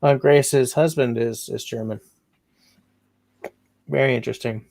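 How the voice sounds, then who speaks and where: Male livestream host, gravelly, dry close mic, rambling chat. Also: mid-range voice, steady tone, a little slow, a little mellow, no echo. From Canada. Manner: meandering, reads out chat comments.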